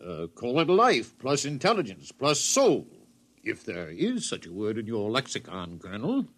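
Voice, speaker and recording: An actor playing a huge, nervous, food-loving scientist with fussy, hesitant, wordy speech in a 1950s radio drama. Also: male voice, mid-range voice, huge pitch swings, normal speed, a bit bright. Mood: fussy, distracted. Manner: fussy, hesitant, pompous wordiness.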